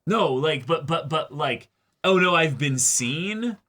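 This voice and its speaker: A man co-hosting a reaction show, arguing his points casually in a questioning, animated way. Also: a little high, slow. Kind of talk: casual anime discussion.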